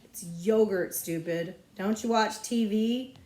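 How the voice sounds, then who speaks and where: A woman's voice: medium pitch, darkish tone, natural voice. A woman puts on a snotty storybook schoolgirl of about ten. She is full of scorn and stretches her words for emphasis. The recording sounds roomy and echoey. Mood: scornful. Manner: disdainful, drawn-out emphasis. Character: snotty, scornful fifth-grade girl.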